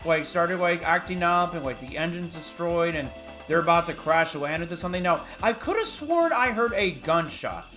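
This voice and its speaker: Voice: mid-range voice, lively intonation, normal speed, music in the background. A video host giving casual, rambling reaction commentary, often repeating "like".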